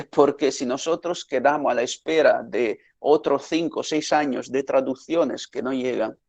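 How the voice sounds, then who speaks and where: An academic man with an Italian accent, a native speaker of Italian, lectures in a deliberate, emphatic manner. His mid-pitched voice has a lot of creak in it. The recording is dull and lo-fi. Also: a little mellow, dry recording. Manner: deliberate, emphatic, with rhetorical questions and repetitions. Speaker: academic giving a conference lecture. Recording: dull lo-fi audio.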